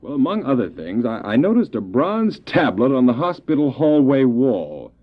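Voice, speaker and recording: An actor playing a polite, curious traveller in an audio drama, speaking in a halting, inquisitive way. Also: male voice, medium pitch, very animated, narrow, tinny audio. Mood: curious, hesitant. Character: curious, polite, hesitant male traveller visiting the hospital. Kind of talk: radio drama acting. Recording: thin, band-limited old cassette drama.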